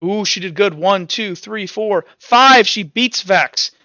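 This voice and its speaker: A man's voice: high voice, slow, sharp tone, no echo, muffled recording. A man acting as game master, casually narrating a tabletop game and talking through rules and dice rolls on a headset.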